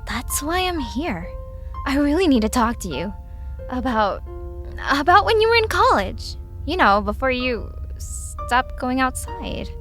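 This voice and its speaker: A woman's voice: high voice, very animated, a little slow, a bit bright, soft background music, full character voice. A woman acting a skeptical, insistent young twin sister in an audio drama, speaking conversationally over some background ambience. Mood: hesitant, concerned.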